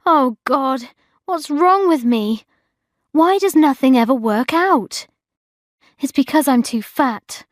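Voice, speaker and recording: A woman's voice: high-pitched, very animated, slow pace, dry recording, studio quality, pitched above the performer's own voice, full character voice. A woman voicing an anxious, self-pitying single woman given to melodrama, as in an audiobook diary. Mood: despairing. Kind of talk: audiobook diary character acting.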